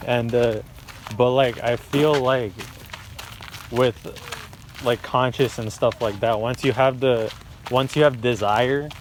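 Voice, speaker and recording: A man's voice: medium pitch, fairly even intonation, slow, long pauses, background noise. A young man in casual philosophical chat on a walk, rambling and laid-back, swearing now and then. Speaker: friend recording the talk. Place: outdoors on a walk.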